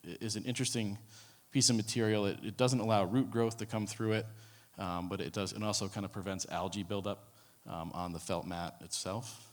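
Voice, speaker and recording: A man with a low voice presents to a room, speaking casually and filling pauses with ums and false starts. His voice carries a long hall reverb. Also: steady tone. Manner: casual, many ums and restarts. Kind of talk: conference talk. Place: conference room.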